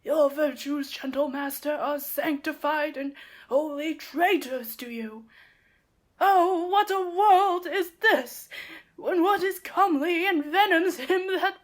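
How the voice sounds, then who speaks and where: Woman playing an anxious old servant man, high-voiced. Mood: alarmed, pleading. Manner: earnest, emotive, slow declamation. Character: devoted old male servant, anxious and loyal. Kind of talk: Shakespearean radio play acting.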